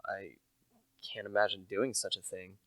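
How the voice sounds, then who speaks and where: A man's voice: on the low side, flat delivery, normal speed, fairly bright tone, dry recording. A young man playing a humble, earnest salesman turned amateur sleuth in a radio play, plain-spoken and a little hesitant. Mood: uneasy. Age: young adult.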